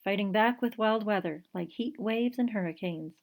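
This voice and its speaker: A woman reading her own children's picture book aloud in an expressive, dramatic storytelling style. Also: mid-range voice, normal speed. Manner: rhyming, dramatic read-aloud.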